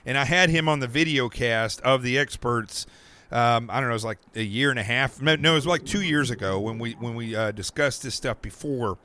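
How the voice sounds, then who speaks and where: A man with an American accent hosting a podcast, talking in a casual, rambling way with restarts and quick asides. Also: mid-range voice, bright, forceful tone. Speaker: podcast host.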